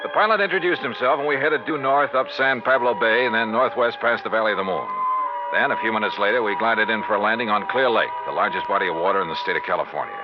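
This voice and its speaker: A man's voice: fairly low pitch, quick pace, close to an everyday voice. An American actor playing a wry male insurance investigator in a 1950s radio detective drama, telling his story in a clipped, colorful way. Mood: wry narration. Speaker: lead actor and narrator. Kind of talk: 1950s radio detective drama, first-person narration and dialogue. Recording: dull vintage radio recording, music.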